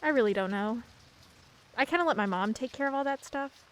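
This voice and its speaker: A woman's voice: on the high side, animated, fairly quick, slight background noise, natural voice. A young woman playing a shy, bookish teenage girl in a radio play, hesitant and dryly self-mocking. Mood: wry.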